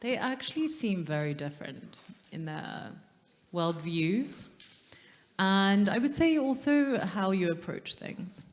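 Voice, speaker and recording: A woman moderating a conference panel in a lively, chatty way, rephrasing technical points for the audience. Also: medium pitch, slow pace. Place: large conference hall, stage. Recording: long hall reverb.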